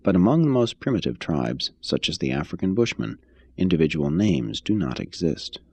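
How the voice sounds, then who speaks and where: Very deep, gravelly male narrator. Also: sing-song, normal speed, a little mellow, dry recording. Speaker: reader, narrator. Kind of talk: scripted audiobook-style reading of an expository text.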